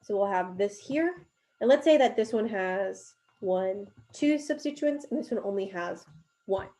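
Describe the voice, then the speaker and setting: A woman's voice: medium pitch, expressive, unhurried, warm tone. A woman giving a recorded chemistry lecture while drawing, explaining step by step, her voice a little worn from coughing. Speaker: chemistry teacher.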